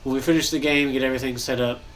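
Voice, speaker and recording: A young man with a stuffy, nasal voice, chatting casually and thinking aloud while he builds in a game, pausing often to cough or clear his throat. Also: medium pitch, steady tone, a bit bright, a little hiss or hum. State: congested, nasal. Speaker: gaming channel host.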